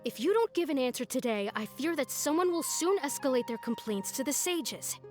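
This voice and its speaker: A formal, stern female university official from a video game. The voice is high, measured and insistent. Faint music sits behind it. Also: lively intonation. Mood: insistent, weary. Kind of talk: video game character voice acting.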